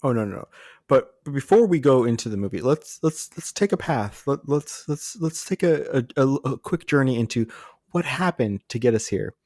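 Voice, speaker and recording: A man's voice: medium pitch, lively intonation, normal speed, a little mellow, no echo, studio quality. A man co-hosting a podcast, chatting casually about entertainment in a jokey, exclaiming storyteller's way. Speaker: podcast co-host. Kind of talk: casual film and TV news chat.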